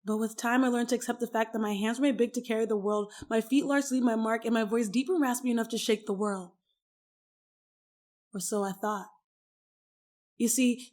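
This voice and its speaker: A woman in her twenties performing dramatic spoken word poetry, with theatrical, deliberate phrasing and emphatic stresses. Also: a little high, a little mellow. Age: 20s.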